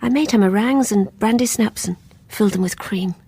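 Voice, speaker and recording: An obsessive, guilt-ridden English woman for radio drama. Her voice is markedly husky and breathy, often sinking towards a whisper. She speaks as if confessing, with brooding intensity. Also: medium pitch, brisk, mellow, no echo. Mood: dreamy, unburdened. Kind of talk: radio drama acting with intimate first-person narration.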